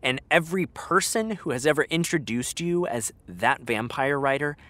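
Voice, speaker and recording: A frank young man in a naturalistic audio drama. His mid-pitched voice moves around freely, and he speaks in an earnest, conversational way, like a friend who has held his tongue too long. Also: expressive, moderate pace, pushed and bright. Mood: teasing, hesitant. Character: frank, exasperated young male actor confronting his best friend.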